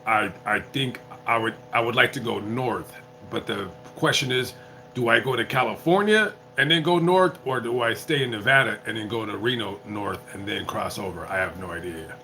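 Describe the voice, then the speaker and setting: A man with a bright, forceful voice chats loosely to his viewers on a livestream, a little tipsy from drinking. He rambles, stops and restarts his sentences, and thinks out loud. A faint noise hangs behind him. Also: on the low side, normal speed, low-quality recording. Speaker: YouTuber live-streamer. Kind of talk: casual livestream chat.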